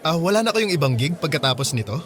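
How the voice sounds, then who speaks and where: A man's voice: fairly high pitch, very animated, fast talker, a bit bright, some chatter behind. An actor playing an eager, polite young male fan in a Filipino radio drama. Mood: eager, friendly.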